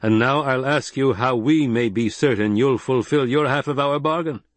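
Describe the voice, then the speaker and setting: A man voicing a stern, proud leader of a desert tribe, grave and forceful. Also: medium pitch, moderate pace, dry recording, voice pushed up, close to an everyday voice.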